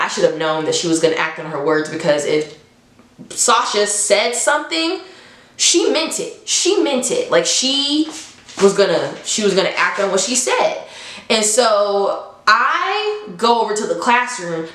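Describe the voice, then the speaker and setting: A woman's voice: medium pitch, fairly bright tone. A young adult woman telling a story to viewers in a casual, slangy, conversational way, dramatically re-enacting what people said. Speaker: storytime vlogger. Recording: echoey room.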